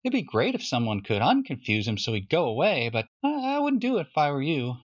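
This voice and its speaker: A man's voice: on the high side, huge pitch swings, brisk, lo-fi sound. A man playing a glum, plaintive young boy. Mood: glum, knowing. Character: Johnny, glum young boy, slyly warning. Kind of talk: children's storybook reading.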